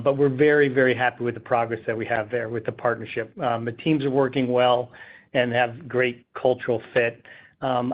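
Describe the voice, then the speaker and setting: Male executive, explanatory and halting, even melody, slightly muffled conference line. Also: mid-range voice. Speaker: company executive. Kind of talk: unscripted business answer on a call. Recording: slightly dull conference call audio.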